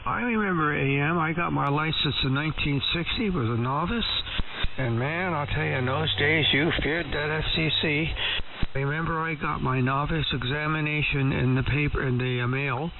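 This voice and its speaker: Senior American male ham operator, creaky delivery, tinny band-limited radio sound, hesitant storyteller. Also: on the high side, normal speed, noisy background. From San Francisco Bay Area, USA. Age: 70s. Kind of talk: reminiscing over ham radio. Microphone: two-way radio microphone. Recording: muffled, narrow AM radio transmission.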